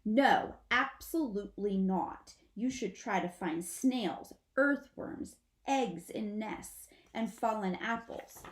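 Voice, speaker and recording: Woman as an animated, instructive mother possum. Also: mid-range voice, slow pace, hardly any pauses. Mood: firm. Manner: instructive, emphatic. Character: motherly possum, patient, instructive, protective adult female. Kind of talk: children's storybook read-aloud. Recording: roomy, echoing sound.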